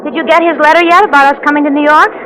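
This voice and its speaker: A woman's voice: sits high, fairly even intonation, racing, noisy background, lightly acted. A woman playing a practical, doubting young confidante in a 1940s radio drama, frank and questioning. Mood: curious.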